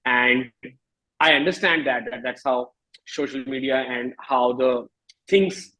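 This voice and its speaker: A man with an Indian accent talking candidly as an interview guest, emphatic but halting, often repeating phrases, in a husky voice. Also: mid-range voice, bright, forceful tone, rough recording. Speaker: musician guest.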